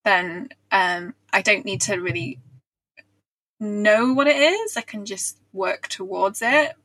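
A woman's voice: mid-range voice, slow, pushed and bright, no echo, rough recording. A woman guest author explaining her writing habits in a friendly, casual way, laughing mid-sentence. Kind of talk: casual interview chat.

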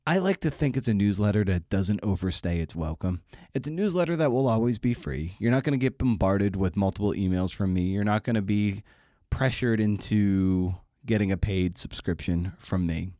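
Wry, low-voiced male podcast host. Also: normal speed, mellow, dry recording, clean studio sound. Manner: chatty, wry and self-deprecating, with asides. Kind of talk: casual solo podcast intro.

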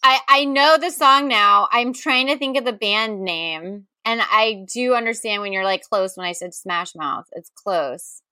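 Woman co-host guessing in a game, exasperated and chatty, sharp bright voice, no room sound. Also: on the high side, measured pace, hardly any pauses, smooth, dry recording. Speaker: podcast co-host and game contestant. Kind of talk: casual banter.

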